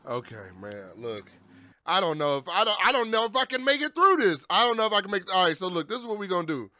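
A man in his thirties giving casual, slangy reaction commentary with animated banter and swearing, close on a studio mic. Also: sits high, fast talker, no echo. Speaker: reaction video host. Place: small home streaming room. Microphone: close studio mic on boom arm, headset.

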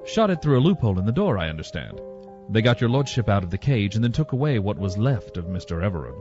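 Man acting a low-voiced, deferential solicitor. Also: very animated, a little mellow. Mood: grave, discreet. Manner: formal, obsequious, measured. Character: deferential male solicitor attending an English lord. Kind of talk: audio drama acting. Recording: muffled lo-fi audio with music.

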